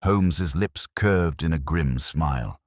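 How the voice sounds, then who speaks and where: A man narrating a scripted mystery story in a formal, measured, dramatic manner. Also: rumbling low, no echo, studio quality. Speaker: audiobook narrator.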